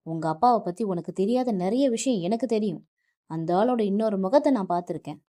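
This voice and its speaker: A woman voicing an enraged young husband who yells harshly at his wife. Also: mid-range voice, close to an everyday voice. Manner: shouting, harsh.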